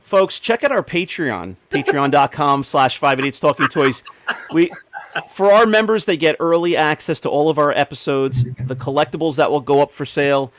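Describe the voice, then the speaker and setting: A man's voice: on the high side, moderate pace, a bit bright. A man hosting a podcast, chatting casually with a group. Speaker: podcast host.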